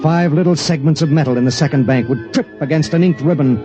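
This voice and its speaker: An actor playing a weary spaceship pilot in an old radio drama, narrating in a grave, measured way and speaking tersely. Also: male voice, a little high, close to an everyday voice. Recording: dull vintage radio broadcast with music.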